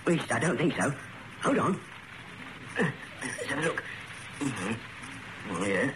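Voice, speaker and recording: A man's voice: medium pitch, very animated, unhurried. Rattled henchman, noisy recording. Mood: rattled, furtive. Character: rattled, obedient criminal henchman reporting to his boss. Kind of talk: radio drama acting. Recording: noisy old radio drama recording.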